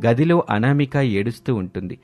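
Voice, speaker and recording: A man's voice: medium pitch, animated, moderate pace, a little mellow. Male storyteller over background music. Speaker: narrator. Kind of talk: scripted story narration. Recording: music behind the voice.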